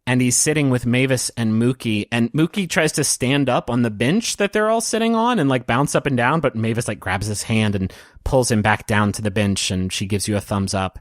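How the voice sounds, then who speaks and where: A man narrating a tabletop roleplaying story as game master, in a gentle, halting, descriptive way. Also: medium pitch, dry recording, studio quality.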